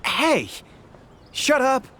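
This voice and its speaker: A man's voice: very high-pitched, wildly swooping pitch, very slow, sharp tone, a little hiss or hum, heavily acted. An actor playing an easygoing, boastful male mercenary in a video game, talking in a casual, chatty way. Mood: indignant. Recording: music under the voice.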